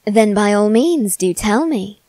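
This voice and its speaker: A woman's voice: mid-range voice, lively intonation, measured pace, dark tone, no room sound, close to an everyday voice. A woman playing a teasing, haughty young male demon servant in a theatrical, mock-polite ASMR roleplay. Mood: impatient, coaxing. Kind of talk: ASMR character roleplay addressed to the listener.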